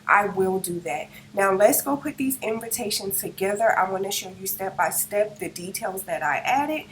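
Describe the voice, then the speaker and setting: A hoarse woman narrating a step-by-step design tutorial, describing each click as she works and checking in with the viewer. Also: medium pitch, expressive, normal speed, a little hiss or hum, poor audio. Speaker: crafting tutorial host. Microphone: no microphone, device's built-in mic.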